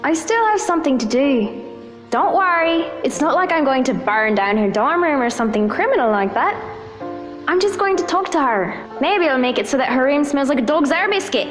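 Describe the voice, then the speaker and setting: Defiant young female student, visual novel. Taunting, intense, high-pitched delivery over clear music. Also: lively intonation, normal speed. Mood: mischievous, scheming. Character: spiteful, defiant young female student who returns accusing. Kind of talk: dramatic visual novel acting. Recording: music under the voice.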